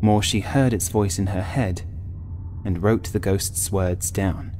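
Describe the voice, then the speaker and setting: A man reading a scripted first-person horror story as an audiobook narrator, in a measured, literary way. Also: low-pitched, fairly even intonation, dark tone, slight background noise.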